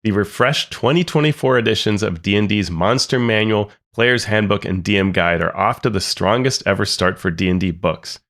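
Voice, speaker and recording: A man's voice: on the low side, normal speed, few pauses, a bit bright. A man co-hosting a podcast, reading out quotes and commenting on them in a casual, restart-filled way. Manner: reads quotes aloud then comments, many restarts. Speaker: podcast co-host.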